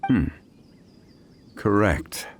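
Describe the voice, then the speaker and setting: A man playing a composed, erudite gentleman in a video game, reasoning aloud in formal, deliberate phrases. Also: noisy background. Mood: pondering. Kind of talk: scripted video game voice acting. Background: game music.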